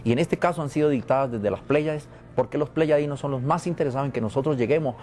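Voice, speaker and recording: A man's voice: medium pitch, fast talker, a little mellow. A man from Venezuela, interviewed about prophecy, speaking in a solemn, repetitive, preaching cadence. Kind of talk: spiritual and prophetic talk.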